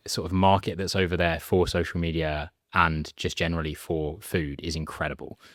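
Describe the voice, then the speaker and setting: Podcast host, man, very low voice, dry close sound, chatty interviewer. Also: normal speed, no room sound. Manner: relaxed, chatty questioning with frequent laughs. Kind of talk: casual interview chat.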